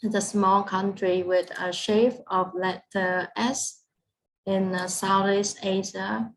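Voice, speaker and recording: A woman from Vietnam with a Vietnamese accent, giving an online presentation in careful, halting English over a video call. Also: medium pitch, little pitch movement, poor audio. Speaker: guest speaker.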